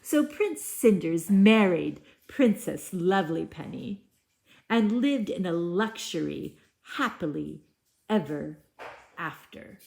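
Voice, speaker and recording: A woman's voice: on the low side, lively intonation, takes it slowly, vocal fry, a little mellow. A woman teacher giving a read-aloud lesson, talking to young children and putting questions to them. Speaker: elementary school teacher. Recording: echoey room.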